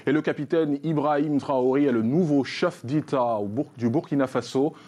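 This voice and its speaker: A man presenting an evening television news bulletin, reading headlines in a measured newsreader delivery. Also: medium pitch, mellow, lo-fi sound. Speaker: news anchor.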